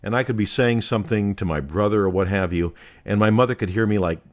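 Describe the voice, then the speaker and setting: A man's voice: lowish voice, fast. A middle-aged man co-hosting a podcast, with a resonant voice that carries, telling rambling, theatrical anecdotes in casual chat. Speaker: podcast co-host.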